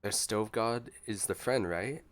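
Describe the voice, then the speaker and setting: A man's voice: lowish voice, unhurried, heavy background noise. A man giving casual gameplay reaction commentary as a streamer.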